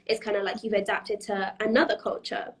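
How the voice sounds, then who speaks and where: A woman's voice: mid-range voice, little pitch movement, brisk. Young woman from iran chatting on a podcast, laughs a lot, lots of um and like. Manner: chatty, laughs often, many fillers and restarts. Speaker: guest. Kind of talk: casual interview chat.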